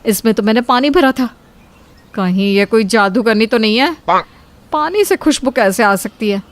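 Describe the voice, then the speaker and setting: A woman's voice: a little high, expressive, moderate pace, lightly acted. A woman voicing a jealous, scheming elder sister-in-law in a Hindi cartoon, spitefully muttering her plots. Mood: suspicious, vexed.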